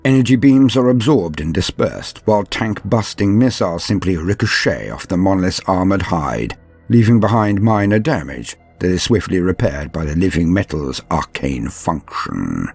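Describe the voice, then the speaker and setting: Deep-voiced man narrating lore theatrically. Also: sing-song, vocal fry, a little mellow, husky, soft background music. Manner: theatrical, measured, formal. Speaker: host of a Warhammer lore guide. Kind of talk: scripted dramatic lore narration.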